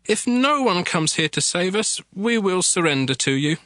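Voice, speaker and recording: An actor playing a besieged town leader, urgent and pleading, in an audio Bible drama. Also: male voice, sits high, big pitch swings, normal speed, pushed and bright, no room sound, studio quality, exaggerated voice. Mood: desperate pleading. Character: desperate town leader pleading under siege.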